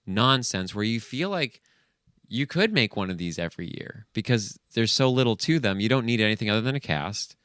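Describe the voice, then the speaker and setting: A man co-hosting a podcast in casual, analytical movie chat, halting as he thinks aloud. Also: on the low side, animated, fairly bright tone, smooth, no echo, studio quality. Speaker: podcast co-host.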